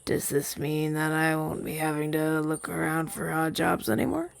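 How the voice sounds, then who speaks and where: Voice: mid-range voice, moderate pace, gravelly, lightly acted. A man voicing a shy, earnest crow familiar in an ASMR roleplay, hesitant and rambling, with stammers. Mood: hopeful, eager.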